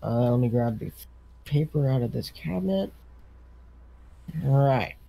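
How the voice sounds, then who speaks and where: A man's voice: mid-range voice, animated, a little slow, creaky, mellow. A man playing an easygoing farm equipment dealership salesman in casual, rambling improvised game role-play. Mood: casual, businesslike.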